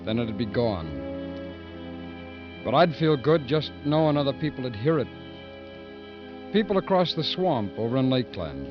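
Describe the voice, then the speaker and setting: A man's voice: medium pitch, big pitch swings, moderate pace, pauses often, close to an everyday voice. An actor playing a wistful, folksy road-gang convict who narrates his story in a 1950s radio drama. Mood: wistful, reflective. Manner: reflective, folksy ungrammatical speech. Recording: dull 1950s radio recording with music behind.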